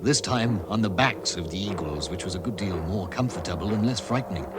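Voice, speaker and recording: A man narrating a fantasy quest as a measured storyteller in a vintage radio drama. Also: low voice, expressive, heavy background noise. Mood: measured storytelling.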